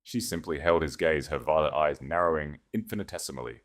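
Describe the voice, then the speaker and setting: Deep-voiced male story narrator. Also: a little fast. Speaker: audiobook narrator. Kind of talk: dramatic scripted story narration.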